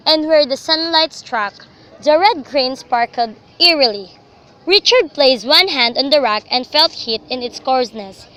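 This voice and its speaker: A woman's voice: high voice, sharp tone, clear, resonant tone. A young woman reading scripted, dramatic story narration for a student radio drama. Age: young adult. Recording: faintly noisy amateur recording.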